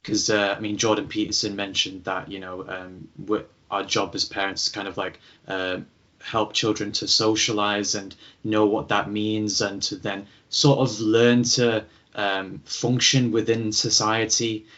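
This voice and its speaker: A male podcast host chats hesitantly, full of fillers. His voice sits low and flat, with little melody. The sound is slightly dull and lo-fi. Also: unhurried. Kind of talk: podcast interview chat.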